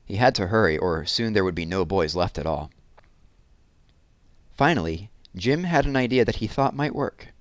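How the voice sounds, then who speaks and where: Male storyteller for children's read-aloud; lower-pitched voice, dry close sound. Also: expressive, moderate pace, no echo.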